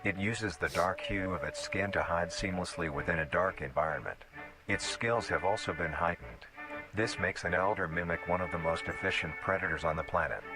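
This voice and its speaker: A man reading scripted narration for an analog horror documentary in a formal, measured, clinical manner. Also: sits low. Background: background music.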